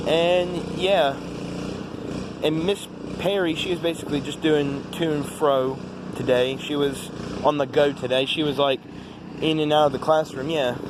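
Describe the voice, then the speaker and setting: A teenage male with an American accent gives a casual, rambling vlog-style recap of the day, halting often as he talks. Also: on the high side, steady tone. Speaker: vlogger. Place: outdoors. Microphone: phone. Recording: noisy outdoor phone recording with traffic.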